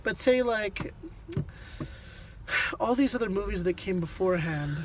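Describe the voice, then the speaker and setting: A co-host speaks casually in a middle-to-high register, with faint noise in the background. The delivery is questioning and conversational, pausing while reasoning through each point. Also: expressive. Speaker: vlog co-host reviewing a film.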